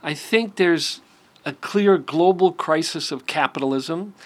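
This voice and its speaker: A man answers a poll question in a measured, academic way. His voice sits a little high for a man and barely moves in pitch. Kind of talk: vox-pop answer.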